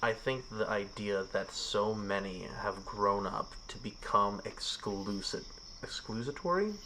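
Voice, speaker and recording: A man's voice: fairly low pitch, a little slow, pushed and bright, a little hiss or hum, rough recording. A man talking in a casual podcast chat, ranting with emphasis and frequent swearing. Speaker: podcast guest.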